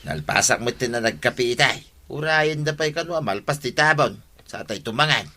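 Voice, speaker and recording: A man's voice: medium pitch, normal speed, sharp tone. A man with an Ilocano accent playing a practical, firm village husband in a radio comedy drama.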